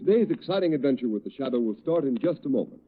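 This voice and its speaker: Old time radio announcer guy, dramatic, very lively pitch, tinny muffled recording. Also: rapid-fire, loud music behind the voice. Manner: dramatic, declamatory.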